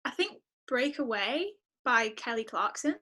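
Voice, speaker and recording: A woman's voice: fairly high pitch, expressive, a little slow, pushed and bright. A woman with a Northern English accent chatting casually and informally as an interview guest. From northern England. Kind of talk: casual chat.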